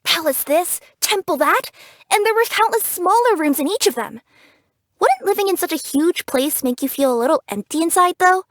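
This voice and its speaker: Game role: young cat yokai delivery girl, high-pitched, bubbly, curious and earnest, very dry close studio sound. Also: expressive, moderate pace, fairly bright tone, dry recording. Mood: puzzled, musing. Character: cheerful young female cat yokai package courier. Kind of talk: English video game character voice acting.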